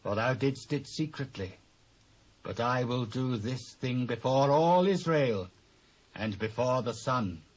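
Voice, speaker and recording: Solemn British prophet, measured. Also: male voice, mid-range voice, expressive. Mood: stern, accusing. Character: solemn, stern prophet speaking God's judgement. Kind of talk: dramatised Bible reading, British stage acting.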